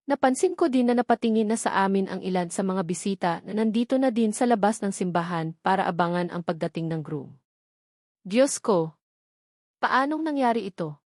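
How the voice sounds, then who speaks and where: A woman's voice: mid-range voice, quick pace, dark tone, dry recording, clean studio sound. A woman with a Filipino accent reading a dramatic first-person novel as an audiobook narrator.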